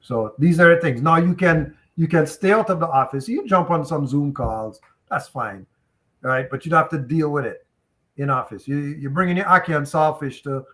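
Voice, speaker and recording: A man's voice: on the high side, normal speed, bright, forceful tone. A man with a Jamaican accent speaking as a panelist in an online discussion, telling candid personal anecdotes in a conversational way over a video call.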